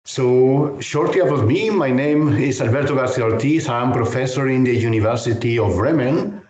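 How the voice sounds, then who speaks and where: A professor from Spain with a noticeable Spanish accent, talking through a technical webinar in a measured, hesitant way. His voice sits at an ordinary middle pitch. The audio is an echoey online call. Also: darkish tone. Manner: measured, careful explanation with frequent hesitations. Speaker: university professor.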